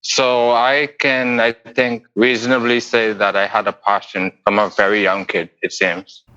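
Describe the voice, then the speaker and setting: Low, bright-toned man from the Bahamas. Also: level tone, smooth, highs cut off. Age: 40s. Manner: thoughtful, unhurried, with pauses and restarts. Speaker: guest artist. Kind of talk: interview answers.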